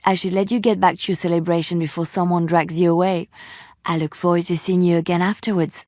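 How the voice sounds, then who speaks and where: Woman acting a devout young female adventurer, lowish pitch, dry close sound, earnest, wistful, lightly teasing, video game dialogue. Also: darkish tone, no room sound, close to an everyday voice. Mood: warm, playful.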